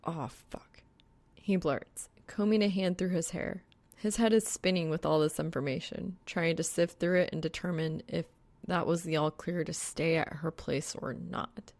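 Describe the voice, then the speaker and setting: A woman's voice: on the low side, fairly even intonation, moderate pace, a little mellow, no echo. A woman reading scripted fan fiction as an audiobook narrator.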